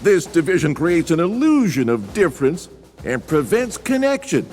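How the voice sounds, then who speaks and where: A man voicing a commanding male drill sergeant who lectures recruits point by point and shouts his orders. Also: a little high, big pitch swings, moderate pace, in a large hall, faint music behind. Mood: barking.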